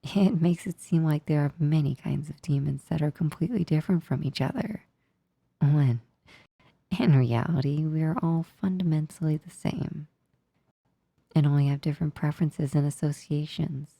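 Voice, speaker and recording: A woman playing a female sleep paralysis demon in an ASMR roleplay, softly and intimately into a close microphone, often whispering, by turns teasing, menacing and awkwardly tender. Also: deep voice, dry recording, exaggerated voice. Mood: gentle, soothing. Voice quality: soft, breathy, often whispering. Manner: slow, intimate, close-up delivery. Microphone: close, dry microphone.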